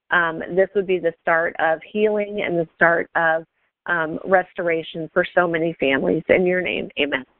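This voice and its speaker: A woman offers an informal, earnest prayer with gentle pleading. Her intonation stays quite flat. She is heard through a thin, dry telephone connection on a conference call. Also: lowish voice, dry recording. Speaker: prayer participant on a group call. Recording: thin tinny phone line.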